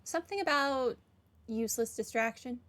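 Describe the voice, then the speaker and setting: A woman's voice: a little high, moderate pace, a bit bright. A woman giving casual commentary as she plays through a game. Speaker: gamer commenting on a playthrough.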